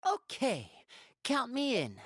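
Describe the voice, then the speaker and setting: A man voicing a sly, charming young wanderer with some tricks up his sleeve in a video game, playful and smooth. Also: very high voice, wildly swooping pitch, slow pace, fairly bright tone, dry recording, exaggerated voice. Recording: game audio with faint music behind.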